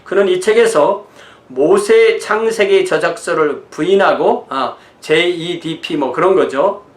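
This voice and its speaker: A man in his sixties with a slightly high voice lectures as a pastor. He explains things conversationally, moving between notes and informal asides. The sound is roomy and echoing. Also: takes it slowly. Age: 60s. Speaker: pastor teaching church history.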